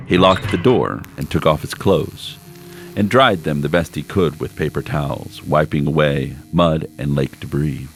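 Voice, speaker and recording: A man reading a literary short story aloud in measured, unhurried scripted narration, with slowed phrases. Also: rumbling low. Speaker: story reader. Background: background score music.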